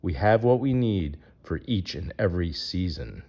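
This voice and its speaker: Low-voiced man reading a children's book aloud. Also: sing-song, moderate pace, a little mellow. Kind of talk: read-aloud of a rhyming picture book for kids.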